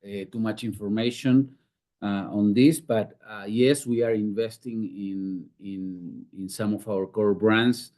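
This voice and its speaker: A man speaking as a company executive, answering questions on an earnings call in a halting, cautious manner. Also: lowish voice, steady tone, darkish tone.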